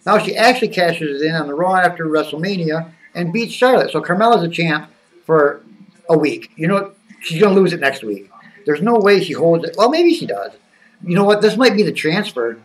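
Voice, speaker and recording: A man's voice: fairly high pitch, expressive, moderate pace. A man hosting a live stream, chatting casually and joking as he reacts to wrestling news, a little far from the mic. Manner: rambling, joking, thinking aloud. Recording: voice a bit distant from the mic.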